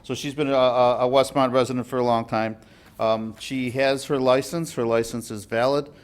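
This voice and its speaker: A man's voice: mid-range voice, steady tone, normal speed, smooth. A man serving as deputy liquor commissioner, giving a businesslike report to a village board, with fillers and restarts. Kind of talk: formal report at a public meeting. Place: village board meeting room.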